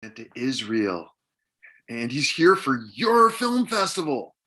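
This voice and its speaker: A man plays a fed-up middle-aged father in a comedy script reading. He has a medium-pitched voice that swings widely, dry and sarcastic in delivery. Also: sing-song, takes it slowly, bright, forceful tone, exaggerated voice. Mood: dry, grumbling. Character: exasperated, sarcastic middle-aged suburban dad. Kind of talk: comedy script table read.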